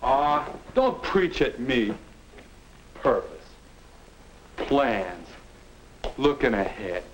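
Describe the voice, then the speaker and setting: A young man with an American accent playing a sullen, defensive high school boy with no aim, grumbling in a 1950 instructional film. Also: sing-song, drawn-out words, heavily acted. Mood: grumbling, pondering. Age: young adult. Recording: noisy, clicking 1950 film soundtrack.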